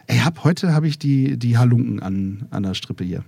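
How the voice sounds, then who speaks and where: A man's voice: medium pitch, animated, normal speed, creaky, warm tone, breathy edge, no room sound, studio quality. A man from Germany hosting a live podcast in front of an audience, chatting playfully and drifting off topic. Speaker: podcast host. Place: festival recording room with a live audience.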